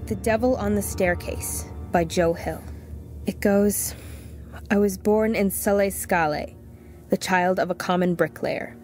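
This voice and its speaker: A woman's voice: mid-range voice, unhurried, long pauses, darkish tone, faint music behind, natural voice. An actress playing a guarded, dutiful teenage schoolgirl in an audio drama, speaking plainly and a little hesitantly. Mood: quietly reading.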